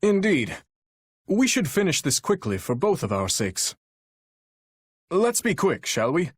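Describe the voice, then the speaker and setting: A man voicing an earnest, courteous young warrior king with a heavy burden for a video game, speaking in formal, measured, courtly diction with a husky voice. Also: fairly high pitch, wildly swooping pitch, no room sound, clean studio sound, exaggerated voice. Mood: resolute. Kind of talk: video game character voice lines.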